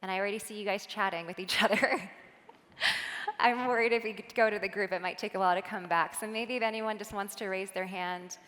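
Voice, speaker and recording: Woman, keynote speaker, bright voice, guided meditation, chatty with audience, large hall reverb. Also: medium pitch. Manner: soft, slow guiding then lively chatty with laughs.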